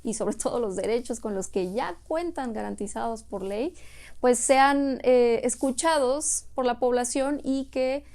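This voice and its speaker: Woman agency head, earnest explainer, mellow tone, pitched a bit high. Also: unhurried. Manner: earnest, explanatory, frequent tag questions. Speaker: UN refugee agency office head. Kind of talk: interview answers. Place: office.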